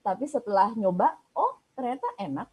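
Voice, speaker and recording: Breathy woman on a video call. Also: mid-range voice, animated, a little fast, a little mellow. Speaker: guest on a travel talk show, living in Paris. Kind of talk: casual chat.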